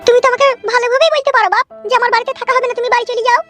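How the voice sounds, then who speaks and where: A woman's voice: very high voice, steady tone, very fast, a bit bright, faint music behind. A woman voicing an indignant, preachy village mother-in-law in a cartoon, with a scolding, insistent way of talking. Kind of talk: Bengali cartoon acting.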